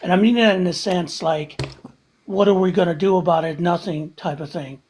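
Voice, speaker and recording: A man's voice: sits high, normal speed, darkish tone, slight background noise. A man on a live podcast, ranting heatedly and with profanity about the news. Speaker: regular guest. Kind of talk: casual live-stream chat.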